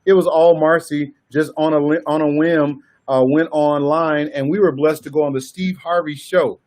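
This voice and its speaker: A man, a married guest, telling stories in animated casual talk. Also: fairly high pitch, moderate pace. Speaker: guest husband. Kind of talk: casual conversation.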